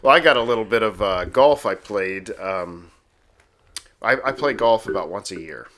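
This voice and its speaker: A man's voice: fairly low pitch, animated, moderate pace, bright, forceful tone, slight background noise. A man of about fifty chatting casually as a co-host, with a self-deprecating way of talking. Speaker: podcast co-host. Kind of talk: casual chat.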